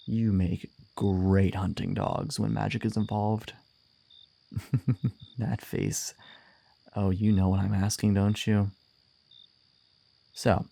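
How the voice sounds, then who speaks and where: Wanted: male actor for a wry, gruff bounty hunter in fantasy audio roleplay, low-pitched, flirtatious and teasing. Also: dark tone. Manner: teasing, wry, swearing, sighing.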